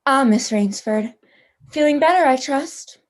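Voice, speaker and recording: Radio drama villain played by a woman: genteel female general who hunts people, very high voice, grandly polite and toying. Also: expressive, a little slow. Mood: breezy politeness. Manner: pompous, theatrically polite, toying. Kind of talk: radio play acting.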